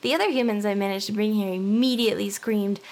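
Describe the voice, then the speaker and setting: A woman voicing a hyper, smitten young female harpy in an anime ASMR roleplay, chirpy and coaxing, with soft whispered turns. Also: mid-range voice, fairly even intonation, fast talker, slight background noise, close to an everyday voice. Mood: soft, soothing.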